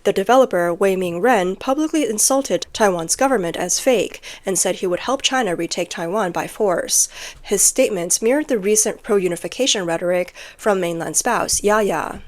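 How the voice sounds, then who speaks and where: Woman doing news voiceover, medium pitch, reading off a script. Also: moderate pace. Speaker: news narrator. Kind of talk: scripted news narration with read-out translated quotes.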